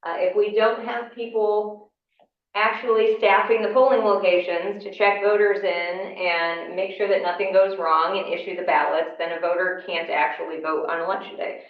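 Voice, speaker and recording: Hoarse woman lecturing in an echoey classroom. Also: medium pitch, flat delivery, moderate pace. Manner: conversational, explanatory, with frequent fillers. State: hoarse from a cold. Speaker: county election official giving a class. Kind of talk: informal lecture. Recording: echoey room, mic far off, dull sound.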